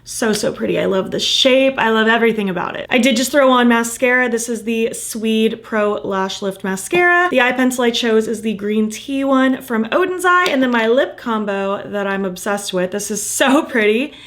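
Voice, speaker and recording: A woman chatting casually through a makeup tutorial, gushing about the colors and murmuring softly while she applies them, her voice a little croaky from losing it. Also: a little high, animated, normal speed, few pauses. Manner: gushing, enthusiastic, soft murmurs while applying. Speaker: makeup vlogger.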